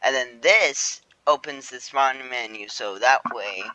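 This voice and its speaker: A man's voice: mid-range voice, unhurried, pushed and bright, no echo, poor audio. A young man casually walking through his own game on a screen recording, rambling with fillers and self-corrections. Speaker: game creator showing his game. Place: home room.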